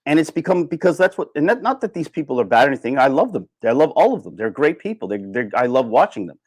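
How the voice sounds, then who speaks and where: A man's voice: mid-range voice, sing-song, quick pace, no room sound. A man speaks in an unscripted, conversational livestream style. He rambles through his points and repeats phrases to drive them home. The sound is dry, with very little room in it. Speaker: livestream host. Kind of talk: casual unscripted livestream rant, reading and answering chat.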